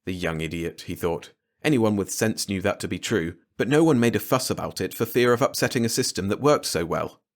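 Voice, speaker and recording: A man's voice: lowish voice, animated, a little fast, few pauses. A man reading a short story aloud as a scripted audiobook narration. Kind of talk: scripted story narration, bedtime read-along.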